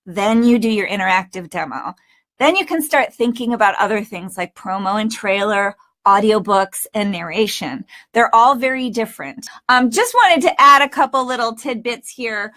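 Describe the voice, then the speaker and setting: A woman with a fairly high voice hosts an online class as a coach. She talks in a casual, encouraging way, as if chatting with her students. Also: a bit bright. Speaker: host and voice acting coach. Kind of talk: webinar presenting, casual chat.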